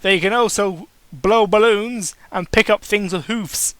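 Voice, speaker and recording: High-pitched, bright-voiced man reading with sarcastic asides. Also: measured pace, dry recording. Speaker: reader commenting on fan fiction. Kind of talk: dramatic reading with mocking asides.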